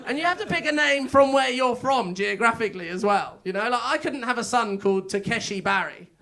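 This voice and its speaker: A man's voice: very high voice, lively intonation, fairly quick, gravelly, bright, forceful tone. An Englishman, a young adult, doing a chatty, self-mocking stand-up set on a comedy club stage into a handheld mic, with plenty of filler words. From England.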